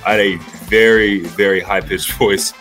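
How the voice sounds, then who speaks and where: A man's voice: on the low side, steady tone, moderate pace, a bit bright, faint music behind. A man in his twenties, a pro basketball player, giving candid interview answers in a laid-back, rambling way full of fillers. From New York.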